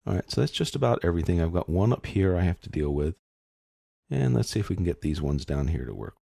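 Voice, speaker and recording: Low, gravelly man narrating a software tutorial. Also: big pitch swings, quick pace, no echo, studio quality. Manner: informal, explanatory, thinking aloud. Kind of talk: unscripted step-by-step screen tutorial narration.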